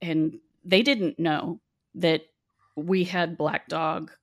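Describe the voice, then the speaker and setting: Chatty Appalachian woman, gravelly. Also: low-pitched, steady tone, extremely slow. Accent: Appalachian American. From West Virginia, USA. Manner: chatty, laughing, acts out quoted voices. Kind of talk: casual storytelling chat.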